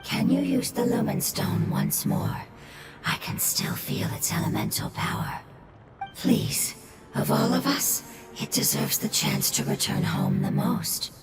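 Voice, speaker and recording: A woman voicing a gentle, compassionate spirit in a video game, serene and solemn in her delivery. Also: medium pitch, wildly swooping pitch, measured pace, creaky, exaggerated voice. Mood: pleading. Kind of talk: scripted video game dialogue. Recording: echoing processed sound under loud music. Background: loud music.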